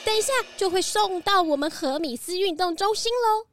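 Very high, welcoming antelope lady. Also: normal speed, over music. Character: considerate, welcoming female antelope hostess. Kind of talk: children's audio drama acting.

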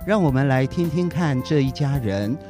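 A man's voice: medium pitch, expressive, moderate pace. A man with a Taiwanese Mandarin accent narrating a scripted introduction to a story for children. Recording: music behind the voice. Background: music.

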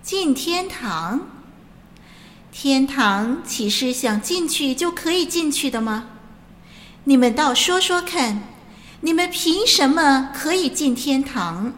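A woman's voice: sits high, lively intonation, slow pace, a bit bright, long reverb tail, slight background noise, heavily acted. A woman playing a stern, commanding angel who questions souls at heaven's gate in an audio drama. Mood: stern, challenging. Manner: commanding, interrogating.

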